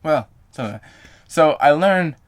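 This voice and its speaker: A man's voice: fairly high pitch, lively intonation, measured pace. A young man vlogging to camera in a casual, rambling way, full of fillers and self-corrections.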